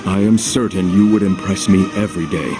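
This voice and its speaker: An actor playing a gracious, dignified older army captain with a formal, measured manner in a game cutscene, music behind. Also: male voice, deep voice, lightly acted. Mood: admiring. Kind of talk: video game cutscene acting.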